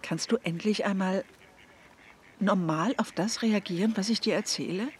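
An actress playing an earnest, intense woman with spiritual convictions in a radio drama, insistent and at times hushed. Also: mid-range voice, moderate pace, a little hiss or hum, lightly acted. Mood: hurt, indignant. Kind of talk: naturalistic radio drama dialogue.

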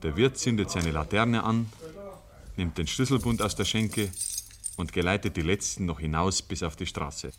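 A man with a Bavarian accent narrating a 1950s radio play in measured, rustic storytelling. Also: low-pitched, a bit bright. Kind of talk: scripted literary radio narration. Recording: noisy old 1950s radio recording.

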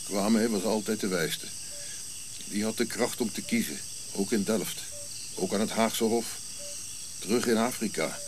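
A man acts out an old prince in a radio play, grumpy and sardonic, in a low voice. He talks curtly with dry irony over clear hiss and insect-like night noise. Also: measured pace, pauses often, close to an everyday voice. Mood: wry, reflective. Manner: curt, irritable, dry irony. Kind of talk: radio drama acting.

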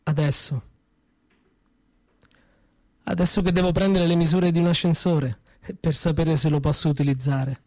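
Actor as a sardonic, high-voiced injured workman. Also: steady tone, normal speed, long pauses, darkish tone, natural voice. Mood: bitter irony. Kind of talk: theatrical monologue in a radio play.

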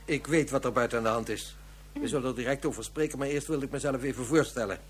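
A man's voice: mid-range voice, fast, faint music behind, lightly acted. A man playing a grave, articulate police inspector in a science fiction radio drama, explaining things in rhetorical questions. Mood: firm, composed.

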